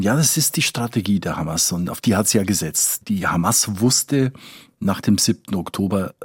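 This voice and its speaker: A man with a low-pitched, rough-edged voice speaks as a correspondent in a podcast conversation. He is informal and emphatic, often repeating a phrase as he thinks aloud. The recording is dry. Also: no echo. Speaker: foreign correspondent.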